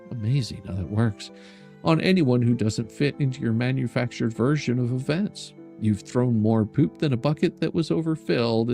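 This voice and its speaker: A man's voice: medium pitch, creaky, warm tone. An older man hosting a stream, reading a letter aloud in a sarcastic, mocking and theatrical way. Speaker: streamer and host.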